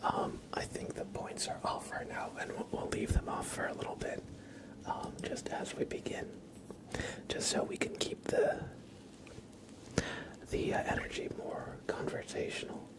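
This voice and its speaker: Male ASMR streamer: gentle whisper, unhurried, casual and conversational, trailing pauses. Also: rough recording. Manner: soft, breathy whispering and low murmuring. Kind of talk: ASMR, casual chat with live stream viewers.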